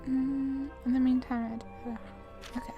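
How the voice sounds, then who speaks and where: A woman's voice: high-pitched, level tone, a little slow, lo-fi sound, over music. A woman doing casual, chatty commentary on a game playthrough, sometimes reading dialogue aloud. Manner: chatty, informal. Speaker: gamer commentator.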